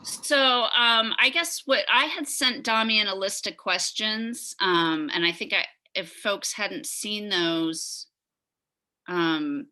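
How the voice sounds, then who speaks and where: Woman, meeting chair, video call, enthusiastic, restarts often, bright forceful tone. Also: mid-range voice, takes it slowly. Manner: talkative, enthusiastic, trailing restarts. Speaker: meeting chair of neighborhood committee. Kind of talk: virtual community meeting.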